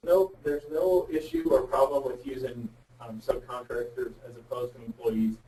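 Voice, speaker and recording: A man's voice: mid-range voice, fairly even intonation, moderate pace, dark tone. A man giving candid, plain-spoken explanations as a guest expert on a web conference call. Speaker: guest speaker, insurance representative. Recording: roomy, distant mic with faint noise.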